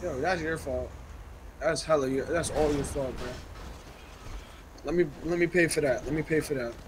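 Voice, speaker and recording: A man's voice: fairly high pitch, normal speed. A man chatting casually in slangy, profane language as he plays an online roleplay game. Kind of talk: casual gaming chat. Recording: noisy game audio with engine sounds. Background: game car noise.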